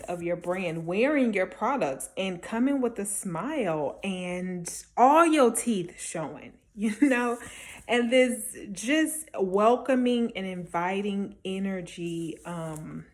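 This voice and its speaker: A woman talking casually and encouragingly as a small-business vendor giving advice, emphatic and conversational, often checking in with the listener. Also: mid-range voice. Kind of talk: casual motivational advice talk to camera.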